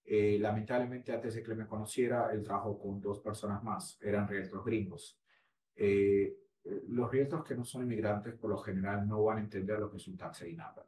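A man in his forties explaining home buying on a video call, with steady explanatory talk that he tags with "¿no?". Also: lowish voice, moderate pace. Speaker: real estate agent. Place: small room at home. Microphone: laptop webcam. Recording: roomy, distant mic with faint hiss.

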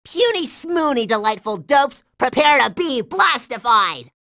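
An actor voicing a cocky, jittery boy pilot in a cartoon video game, blustering and excitable. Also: sits high, unhurried, dry recording, clean studio sound, full character voice. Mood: cocky defiant.

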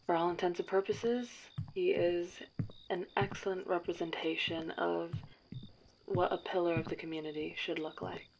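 A precise, formal matchmaker who runs things her own way, played by a woman. Her voice sits a little low and she speaks in clipped, businesslike phrases. The recording sounds a bit dull, with a faint hiss. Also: fairly even intonation, normal speed, creaky. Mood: briefing. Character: crisp, controlling woman dating agency matchmaker. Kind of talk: audio drama roleplay.

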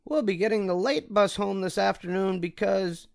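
A man's voice: high-pitched, creaky, darkish tone, no echo, clean studio sound, pitched above the performer's own voice. A man voicing a curious, cheeky young boy bear cub in a storybook reading. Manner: quick wisecracking kid voice. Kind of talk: storybook read-aloud.

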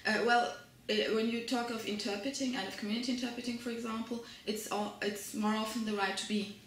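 A woman's voice: on the high side, fairly even intonation, fast talker, echoey room, slight background noise, poor audio. A middle-aged woman professor being interviewed, speaking thoughtfully with many fillers and restarts. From Germany. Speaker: translation studies professor, guest. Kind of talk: academic interview.

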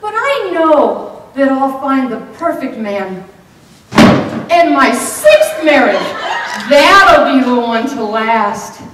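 A middle-aged woman performing a comedic tall tale on stage, with theatrical, deliberate delivery and dramatic pauses. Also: high-pitched, very animated. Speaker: speech contest contestant. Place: hotel banquet room, indoors. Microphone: far camera microphone. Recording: echoey room from far off, some noise.

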